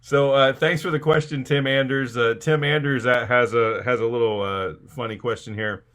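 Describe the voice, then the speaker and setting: A man's voice: mid-range voice, steady tone, moderate pace, a bit bright. A man hosting a casual comic interview, playing along and relaying questions from viewers in the chat. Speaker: live-stream show host.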